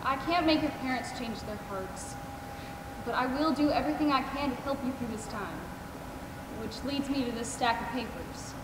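A woman playing a gentle, devoted caregiver in a stage play, speaking earnestly. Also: sits high, expressive, normal speed. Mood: caring, practical. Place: theater stage, indoors. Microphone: picked up from far off. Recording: echoey theater, distant, noisy.

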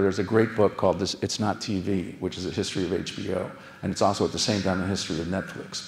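An older American man delivers a keynote talk in a fairly low voice. He explains things conversationally and often steps aside from his main point. The sound has the pronounced echo of a large auditorium. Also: gravelly. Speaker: keynote speaker, television showrunner. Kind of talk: conference lecture to an audience. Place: large auditorium stage, indoors.

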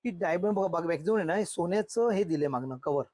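A male shopkeeper gives casual, unscripted sales talk about his goods. He speaks quickly, and his voice has a husky, unclear edge. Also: high-pitched, expressive, warm tone, dry recording. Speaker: shopkeeper, wholesale and retail seller.

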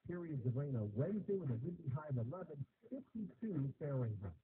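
A man reads a radio weather forecast in a somewhat high voice. It sounds narrow and tinny, with light hiss from a phone recording of a car radio. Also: big pitch swings, brisk.

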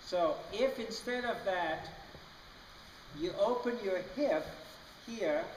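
A man's voice: high voice, takes it slowly, distant mic. A man coaching a rider in a large indoor riding arena, patient and explanatory, often checking in with "okay?". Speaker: riding clinician. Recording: roomy echoey arena from afar with noise.

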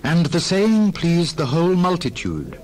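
A man narrating dramatized scripture in a formal, expressive storytelling manner. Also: on the high side, unhurried.

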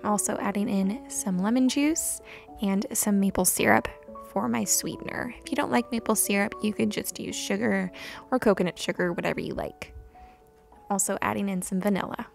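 Gentle unhurried homestead narrator, woman. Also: mid-range voice, gravelly, soft background music. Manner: gentle, unhurried, with soft laughs. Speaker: homesteading vlogger. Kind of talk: calm voice-over narration. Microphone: voice-over recorded separately.

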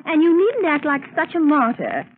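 An actress playing a spirited, bossy society woman, brisk and teasing, in a 1930s radio drama. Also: high voice, wildly swooping pitch. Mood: impatient, chiding. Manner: brisk, chiding, gushing. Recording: crackly, narrow-band 1939 radio broadcast.

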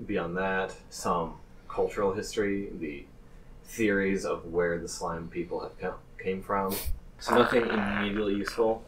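A man narrating a tabletop role-playing game as game master, improvising his descriptions haltingly. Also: low-pitched, steady tone. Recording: distant mic with faint noise.

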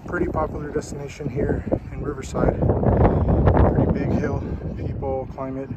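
A man's voice: medium pitch, normal speed, lo-fi sound, very noisy. A man in his thirties vlogging outdoors on a mountaintop at night, talking casually through a headset mic with frequent ums. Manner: relaxed, plain-spoken, frequent ums. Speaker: vlogger testing radios. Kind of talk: casual vlog talk to camera.

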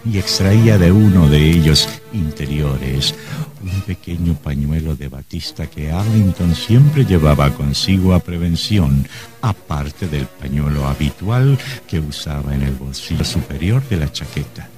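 A man with a very deep voice narrates a horror radio drama. His delivery is scripted and dramatic, with music behind him. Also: lively intonation, a little slow.

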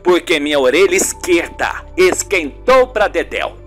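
A man's voice: a little high, lively intonation, moderate pace, fairly bright tone, soft background music. A man voicing a melodramatic, guilt-ridden older mother in an amateur radio soap. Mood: wounded, nagging.

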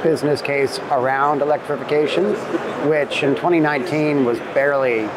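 A man's voice: a little high, steady tone, normal speed. An older man of about sixty giving promotional interview talk as a company chief executive. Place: indoor trade show booth. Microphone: lapel mic. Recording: loud trade show background noise.

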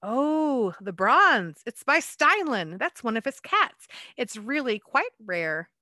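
A woman's voice: fairly high pitch, big pitch swings, slow pace, sharp tone, no room sound, studio quality, voice pushed up, exaggerated voice. A woman voicing a kindly older male shopkeeper who welcomes a visitor into his shop. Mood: friendly.

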